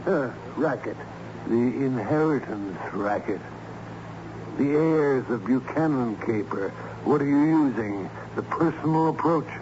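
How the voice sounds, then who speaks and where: A man playing a hearty, menacingly polite crooked lawyer, questioning formally and briskly in an old-time radio drama. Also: mid-range voice, lively intonation, natural voice. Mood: pressing. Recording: muffled, noisy old radio recording.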